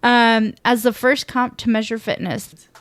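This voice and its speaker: A woman's voice: a little high, fairly even intonation, unhurried, a bit bright, no echo. A woman in her thirties co-hosting a podcast, chatting casually with lots of fillers and giggles. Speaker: podcast co-host. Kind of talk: casual chat.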